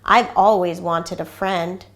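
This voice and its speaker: A woman's voice: lowish voice, steady tone, slow. Woman reading as an innocent, wonder-filled little toy bear. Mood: content, wistful. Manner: wondering, earnest.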